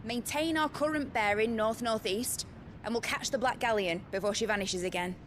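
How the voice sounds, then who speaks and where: An actress playing a bold, brash young ship captain in a video game cutscene, hearty and commanding. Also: female voice, normal speed, natural voice. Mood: brisk, confident. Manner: salty, hearty, barking orders. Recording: heavy engine and sea rumble behind.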